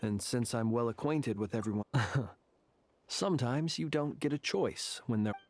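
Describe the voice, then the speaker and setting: Courteous, precise, mid-voiced gentleman. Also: medium pitch, lively intonation, normal speed. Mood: composed. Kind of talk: video game dialogue.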